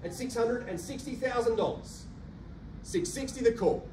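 A man's voice: very high-pitched, darkish tone. Australian auctioneer man calling bids. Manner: rhythmic rapid-fire bid calling, cajoling buyers. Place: carpeted function room indoors. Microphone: handheld mic, filmed by a far camera. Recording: roomy echo from a distant camera, faint hum.

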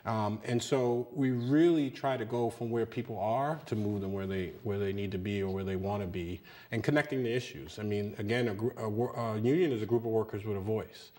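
Man, 30s, lowish voice, earnest union leader, TV studio interview, lapel mic. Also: fairly even intonation, fairly quick, hardly any pauses, warm tone. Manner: earnest, repeats words when emphasizing. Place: small TV studio.

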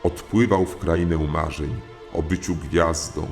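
A man's voice: sits low, steady tone, measured pace, creaky, darkish tone. A man narrating a comic audio fairy tale as a formal, courteous storyteller. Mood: measured. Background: music.

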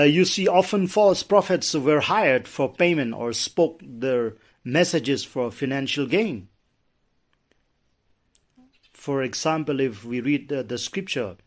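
A middle-aged man preaching an impassioned sermon as a pastor, with repeated restarts and rising emphasis, recorded on a lapel mic. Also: mid-range voice. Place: wood-paneled church pulpit indoors, later outdoors on a hillside.

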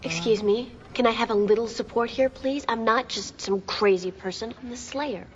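A woman's voice: a little high, moderate pace, natural voice. Muffled, insistent young woman, acted. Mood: exasperated. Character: insistent young woman, plucky monster hunter. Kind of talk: television drama acting. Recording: muffled TV playback, faint noise.

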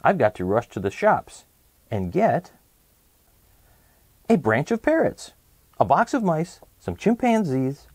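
A hurried, muddled little boy from a storybook, acted out by a man, recites in a breezy singsong. The pitch is raised and swoops a lot, with some words stretched out. The recording is dry. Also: sing-song, darkish tone, breathy edge, no room sound, heavily acted. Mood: hurried, confused. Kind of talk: children's picture book read-aloud.

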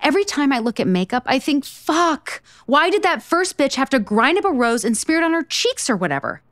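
Female lead, rom-com audio drama: blunt cynical single woman, highish pitch, very animated delivery. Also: brisk, no echo, studio quality. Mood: indignant ranting. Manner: sarcastic, emphatic, profane. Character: blunt, exasperated, foul-mouthed single woman, sharp-tongued cynic. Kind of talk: romantic comedy audio drama acting.

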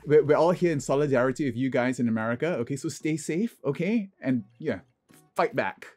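Husky man vlogging over music. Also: medium pitch, lively intonation, brisk, a little mellow. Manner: chatty, punctuates points with "okay". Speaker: drag queen vlogger. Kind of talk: casual vlog commentary.

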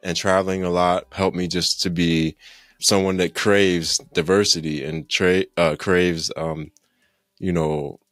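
Deep-voiced man chatting on a podcast. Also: level tone, a little slow, fairly bright tone, no echo, studio quality, soft background music. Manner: rambling, laughs often, restarts sentences. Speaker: podcast guest. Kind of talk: casual interview chat. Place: home room, indoors. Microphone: studio mic with headphones, video call.

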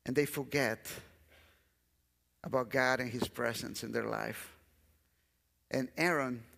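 Guatemalan pastor preaching in a big hall. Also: male voice, mid-range voice, vocal fry, a bit bright. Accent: Guatemalan Spanish. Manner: earnest, repeats phrases, walks the stage. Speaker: guest pastor. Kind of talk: sermon. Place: large church stage. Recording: long church hall reverb.

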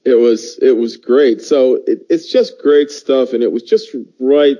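A man's voice: mid-range voice, unhurried, mellow, lo-fi sound. A middle-aged man with an American accent, reminiscing fondly in casual conversation as a podcast co-host. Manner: fond reminiscing. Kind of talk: casual chat.

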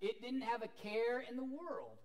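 A middle-aged man preaching a sermon as a pastor, telling an animated story while moving about a church sanctuary. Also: very high voice, moderate pace. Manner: animated storytelling with wide gestures, walking about.

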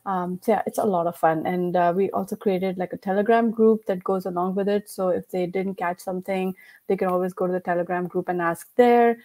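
A woman's voice: on the low side, fairly even intonation, brisk, warm tone. A woman with an Indian accent talks as a guest on a remote podcast, speaking through a headset from a room at home. She is chatty, laughs often and fills her sentences with "like" and "you know". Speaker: podcast guest, company founder. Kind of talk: casual interview chat. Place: home room, video call. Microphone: headset with mic.

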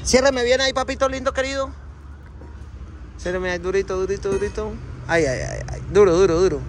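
A man's voice: high-pitched, animated, brisk, slight background noise. A man in his thirties with a Colombian accent, chatting affectionately while filming his trucks with a phone at arm's length. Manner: chatty and affectionate, full of diminutives. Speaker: trucking company owner and vlogger. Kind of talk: casual vlog walkaround. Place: outdoor truck yard and truck cab. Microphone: phone camera held at arm's length.

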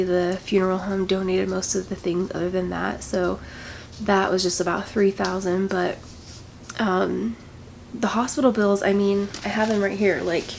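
A young woman with a nasal, congested voice talks to camera in a personal vlog, speaking quietly and haltingly in a heavy, grieving way. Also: medium pitch, vocal fry, a little hiss or hum. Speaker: vlogger. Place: indoors, small room with patterned backdrop. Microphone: camera in front, head-and-shoulders framing.

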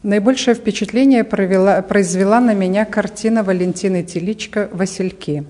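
Woman, fifties, spokesperson in interview: mellow voice, medium pitch, halting delivery as she hunts for words. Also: steady tone. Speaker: association press spokeswoman being interviewed. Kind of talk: interview answers. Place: indoor art gallery. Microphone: reporter's handheld microphone.

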